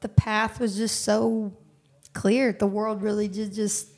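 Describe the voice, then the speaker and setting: A young woman singer telling her story candidly in a casual interview, animated and quick to laugh. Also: medium pitch. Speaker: singer-songwriter guest.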